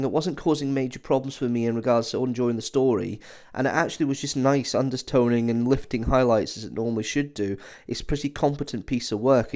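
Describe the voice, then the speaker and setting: A man's voice: mid-range voice, darkish tone. A man reviewing in a conversational, opinionated way, as commentary for a video.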